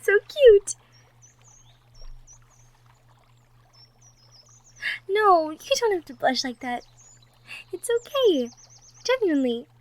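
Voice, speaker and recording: A woman playing a gentle, cheerful young cottagecore woman from the woods in an intimate roleplay audio, soft-spoken, giggly and sweet. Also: very high-pitched, very animated, unhurried, exaggerated voice. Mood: teasing, tender. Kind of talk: roleplay audio drama, intimate one-sided conversation.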